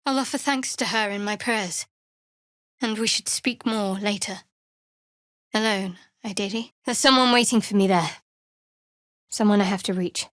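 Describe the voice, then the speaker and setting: An actress playing a guarded, wry young half-elf cleric in a fantasy video game, speaking in a measured, dry, slightly haughty way. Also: female voice, medium pitch, fairly bright tone, no echo, natural voice. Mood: solemn, sincere. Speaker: video game character voice actor. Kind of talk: scripted fantasy game dialogue.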